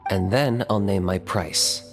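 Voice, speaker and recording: An actor playing a terse, blunt young mercenary in a video game, with a curt deadpan delivery. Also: male voice, low-pitched, measured pace. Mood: cool, guarded. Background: game music.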